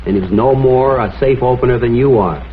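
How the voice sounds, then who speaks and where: An actor playing a shrewd, persistent crime photographer in a vintage detective drama, questioning politely but insistently. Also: male voice, mid-range voice, brisk, a little hiss or hum, lightly acted. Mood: reasoning. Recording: muffled phone-like old soundtrack, music behind.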